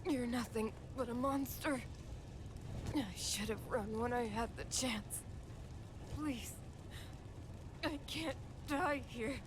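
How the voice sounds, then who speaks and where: An actress voicing a loyal, duty-bound royal knight in a dramatic video game, speaking with an earnest, solemn delivery. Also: female voice, a little high, slow pace, pauses often, background noise. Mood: desperate, despairing. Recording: loud dramatic music behind voice.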